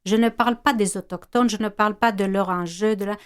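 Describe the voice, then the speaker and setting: This is a woman on a podcast panel, and French is not her first language. She gropes for the right word and starts her sentences over and over. Her voice sits at an ordinary middle pitch. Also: fairly even intonation, a little fast, clear, resonant tone. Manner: hesitant, searching for words with many restarts. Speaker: publisher and former teacher.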